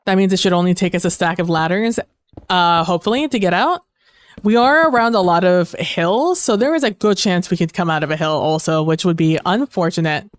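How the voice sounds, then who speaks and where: A woman's voice: lowish voice, brisk. A woman streaming a game on a headset mic, chatting casually and rambling with fillers while losing her voice. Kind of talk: casual gaming stream chat.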